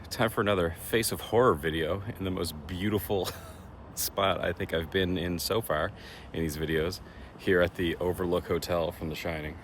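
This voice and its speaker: A man with a very low voice films himself outdoors and chats to camera, rambling with fillers and poking fun at himself. His voice is a little raspy, and faint outdoor noise sits behind him. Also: fairly bright tone. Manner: chatty, self-deprecating, rambling with fillers. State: slightly raspy voice. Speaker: horror contest vlogger. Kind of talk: casual vlog to camera. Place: outdoors in woods. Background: faint leaf blower and vehicle noise.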